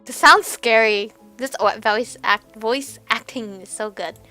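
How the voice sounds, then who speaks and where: A young woman giving casual, expressive let's play commentary, chatty and reactive. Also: fairly high pitch, unhurried, soft background music. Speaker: gaming streamer. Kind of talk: casual let's play commentary with read-aloud game text.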